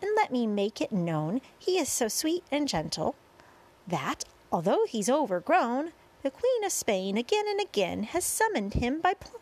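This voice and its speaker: A woman playing a small boy in a storybook, cheerful, polite and excited, with exclamatory, singsong rhyming delivery. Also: fairly high pitch, pitched above the performer's own voice.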